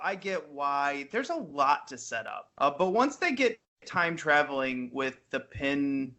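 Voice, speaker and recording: Bright, higher-voiced man chatting about film. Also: very animated, a little slow. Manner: informal, opinionated. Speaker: podcast co-host. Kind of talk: casual film discussion. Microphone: Skype call.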